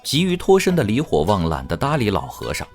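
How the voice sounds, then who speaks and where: Low-pitched, animated male narrator. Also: brisk. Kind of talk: dramatic scripted audiobook narration. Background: music.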